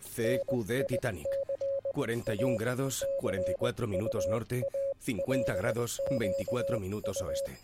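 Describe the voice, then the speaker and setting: Actor as male radioman sending distress call, loud music. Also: fairly low pitch, normal speed, close to an everyday voice. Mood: urgent, clipped. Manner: clipped, formal dictation. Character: urgent male wireless operator sending distress message. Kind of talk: radio drama.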